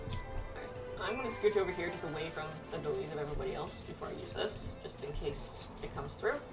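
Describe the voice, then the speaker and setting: A woman's voice: deep voice, animated, a little fast, gravelly. Casual gaming woman over game music. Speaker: game streamer playing with friends. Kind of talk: casual playthrough chat, reading quest text aloud.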